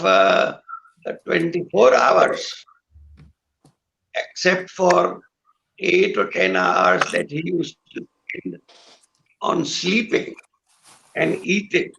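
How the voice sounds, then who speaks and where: An older man with a hoarse voice, a guest musician talking in a slow, halting way with many pauses over a video call. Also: high voice, sharp tone, a little hiss or hum, low-quality recording. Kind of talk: recalled conversation with singing.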